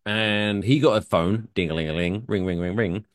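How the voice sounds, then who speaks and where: A man co-hosting a podcast in casual chat, an animated storyteller who builds into emphatic outbursts. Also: lowish voice, moderate pace, no echo, studio quality. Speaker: podcast co-host.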